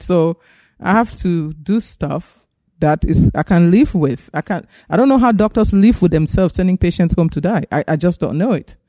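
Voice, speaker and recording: A man's voice: a little high, warm tone, dry recording, clean studio sound. A man from Cameroon, a physician guest, giving earnest, emphatic testimony in an interview.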